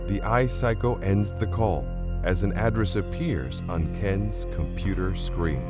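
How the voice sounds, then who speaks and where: A man's voice: low voice, a little slow, loud music behind the voice. A man giving dramatic scripted narration as the narrator of a radio play.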